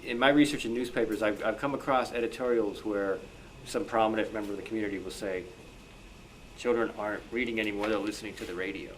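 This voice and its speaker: A man's voice: lowish voice, steady tone, quick pace. A man making casual remarks as a panelist at a club meeting. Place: meeting room. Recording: noisy live meeting room.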